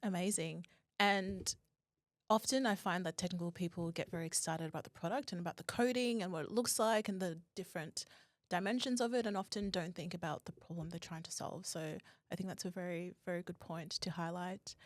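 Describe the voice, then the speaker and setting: A woman's voice: on the low side, fairly even intonation, fairly quick, no room sound, studio quality. A woman hosting a podcast interview in a conversational style. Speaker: podcast host.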